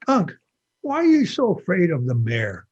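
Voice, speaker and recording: Man playing a high, cheeky schoolboy nephew. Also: wildly swooping pitch, moderate pace, a little mellow, slightly rough, lo-fi sound, full character voice. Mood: cheeky, playful. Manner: cheeky, complaining, playful. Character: cheeky young schoolboy nephew, mischievous and wisecracking. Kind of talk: old-time radio comedy acting.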